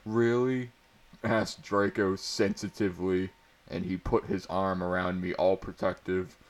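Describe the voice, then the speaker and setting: Man, fairly low voice, very unhurried, mock-dramatic narration, wry asides, prone to giggling. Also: level tone. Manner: often breaks into laughter while reading. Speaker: amateur reader of fan fiction.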